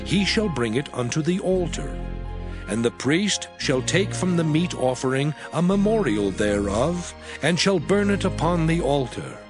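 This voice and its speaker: A man narrating a dramatized audio Bible in a solemn, formal manner with measured phrasing. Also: a little high, expressive. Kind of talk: dramatized scripture reading, scripted narration. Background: background music.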